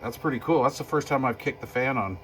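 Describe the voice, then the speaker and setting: A man giving a casual hands-on demo as a hobbyist host, folksy and thinking out loud. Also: mid-range voice, fast, a little hiss or hum. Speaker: hobbyist host demonstrating a homemade power supply. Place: workshop bench.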